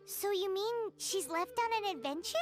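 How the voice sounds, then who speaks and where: A woman's voice: very high-pitched, lively intonation, normal speed. A woman voicing a small, childlike, helpful and curious companion in a video game. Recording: music behind the voice.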